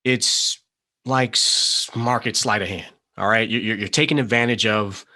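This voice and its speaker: An actor playing an earnest, brainy hacker, nervous but determined, speaking haltingly in a screenplay table read. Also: male voice, on the low side, steady tone, bright, forceful tone. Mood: hesitant, explaining.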